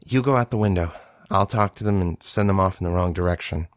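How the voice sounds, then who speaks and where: A man's voice: deep voice, quick pace, no room sound, clean studio sound, natural voice. A man voicing an earnest, tender-hearted and easily flustered young man in a fantasy audiobook. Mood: urgent. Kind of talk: dramatized audiobook dialogue.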